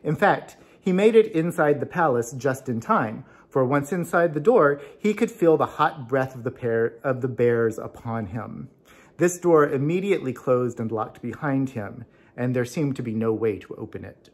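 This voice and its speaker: A man's voice: medium pitch, animated, moderate pace, husky. A man introducing and reading a story aloud in a storytelling style.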